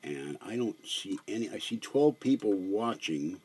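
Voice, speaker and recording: An older man with a hoarse voice, chatting on a live stream from a small room at home, meandering and halting with many fillers. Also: on the low side, lively intonation, darkish tone. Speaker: livestreamer. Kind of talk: rambling live stream chat.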